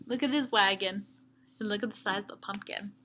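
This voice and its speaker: A woman teacher reading a story aloud to young children, with expressive emphasis and explanatory asides. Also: mid-range voice, fairly quick, a little hiss or hum.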